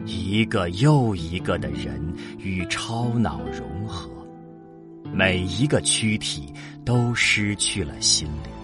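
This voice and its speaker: Deep, slow male narrator over music. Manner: solemn, deliberate. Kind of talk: dramatic scripted science fiction narration.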